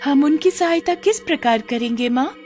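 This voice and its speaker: A woman's voice: sits high, lively intonation, normal speed, a little mellow, soft background music, pitched above the performer's own voice. A woman voicing a curious, eager young daughter in a cartoon story, childlike and inquisitive.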